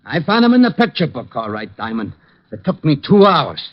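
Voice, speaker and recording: Dumb, huffy police sergeant: whiny, wildly lively pitch, 1940s radio comedy, lo-fi sound. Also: male voice. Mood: sulky, offended. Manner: whiny, sulky, slow-witted. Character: dim-witted, touchy male police sergeant, easily offended. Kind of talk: 1940s comic radio acting. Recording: dull lo-fi old radio recording.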